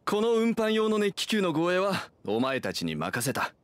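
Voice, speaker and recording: A man playing a plain-spoken, gruff, anxious winery warehouse manager in an anime game. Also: sits high, huge pitch swings, normal speed, no echo. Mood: earnest.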